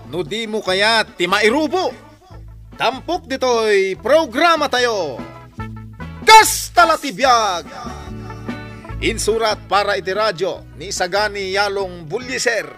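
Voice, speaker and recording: A man's voice: high voice, bright, forceful tone. A man announcing scripted sign-off credits for a radio drama, declamatory, stretching out his emphasis on titles.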